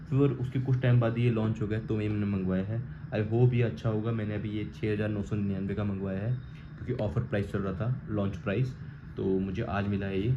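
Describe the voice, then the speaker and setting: Low, flat-toned Indian man, muffled recording. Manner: informal, switches between Hindi and English phrases. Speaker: vlogger reviewing gear. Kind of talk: casual unboxing and installation walkthrough. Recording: muffled lo-fi audio with faint background noise.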